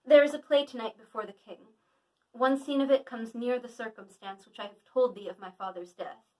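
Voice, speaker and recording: A woman's voice: a little high, a little fast, darkish tone, recorded from a distance, lightly acted, low-quality recording. A woman playing an earnest, brooding young prince in a declamatory Shakespearean monologue, confiding in a friend. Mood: grave, conspiratorial.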